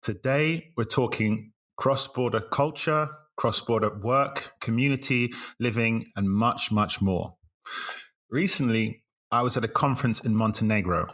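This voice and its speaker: A man's voice: medium pitch, unhurried, fairly bright tone. A man hosting a podcast interview who chats in a friendly way and uses fillers as he talks. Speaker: podcast host.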